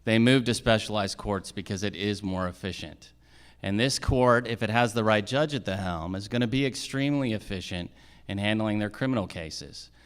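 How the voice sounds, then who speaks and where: A man's voice: on the low side, normal speed, clean tone. A man answering questions as a judicial candidate at a forum, explaining his points in a plain-spoken way.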